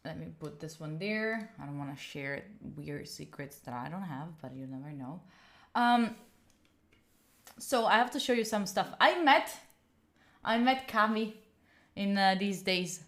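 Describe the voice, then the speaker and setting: A woman's voice: mid-range voice, expressive, a little slow. A young woman with an Italian accent chatting casually on a live stream, rambling and giggling with lots of fillers. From Italy. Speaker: study streamer.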